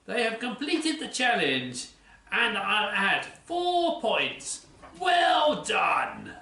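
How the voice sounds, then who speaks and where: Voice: medium pitch, huge pitch swings, drawn-out words, vocal fry, sharp tone, exaggerated voice. A presenter voicing a zany, eager know-it-all science doctor in a children's church show, talking in a theatrical sing-song. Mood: cheery praising. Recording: roomy sound from a distant mic.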